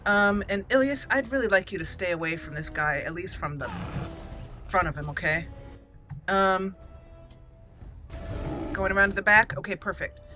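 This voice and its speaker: A woman's voice: mid-range voice, moderate pace, pauses often, bright, forceful tone, faint music behind. A woman giving casual let's play commentary, thinking aloud and talking straight to the game's characters. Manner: thinking aloud, addressing game characters directly. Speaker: gaming channel host.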